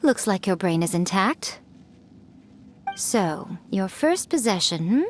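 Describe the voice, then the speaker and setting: A woman's voice: medium pitch, big pitch swings, slow, slight background noise. A woman voicing a smug, centuries-old female fox spirit in a video game, coy, teasing and self-assured.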